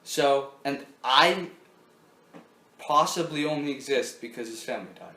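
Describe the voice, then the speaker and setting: A man's voice: mid-range voice, a little slow, bright, forceful tone. A young man hosting a casual podcast chat, rambling with many fillers and restarts. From Canada. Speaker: podcast host. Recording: echoey room.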